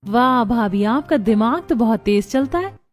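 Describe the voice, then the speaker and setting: A woman's voice: fairly high pitch, lively intonation, a little slow. A woman voicing a hopeful, encouraging young woman in a family cartoon.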